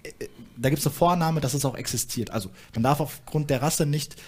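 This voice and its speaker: A man's voice: on the high side, brisk, husky. A young man on a studio panel, explaining his views earnestly with frequent fillers. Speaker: panel guest, activist. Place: studio stage with small audience.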